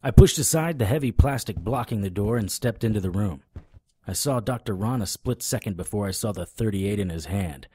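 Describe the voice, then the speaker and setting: Male noir private eye, deep and dark-toned, wry, deadpan, audio drama. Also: a little fast, slightly rough, no room sound. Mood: tense, deadpan. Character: wry, world-weary male private investigator of the supernatural. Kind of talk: first-person noir narration and dialogue in audio drama.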